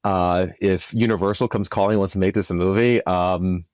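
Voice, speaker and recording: A man with a lowish voice answers as a podcast guest. He is casual and enthusiastic, often circling back mid-thought, in a dry, close-sounding recording. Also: steady tone, normal speed, darkish tone, clear, resonant tone, dry recording.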